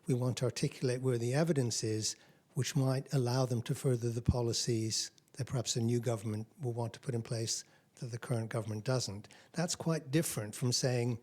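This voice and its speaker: A man's voice: medium pitch, fairly even intonation, fairly quick, darkish tone. A man on a research panel, answering carefully and hedging his points.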